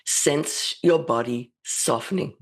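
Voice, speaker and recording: A woman with a slightly croaky voice hosting a psychic radio show and leading a slow, soothing guided meditation. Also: sits low, rough recording. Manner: slow, soothing, gently spiritual.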